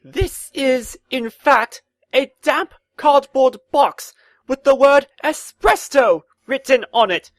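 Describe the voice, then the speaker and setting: A woman's voice: high-pitched, takes it slowly, dry recording. A woman voicing an exasperated, sarcastic male fighter and party leader in a cartoon fandub. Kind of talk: animated comic fandub acting.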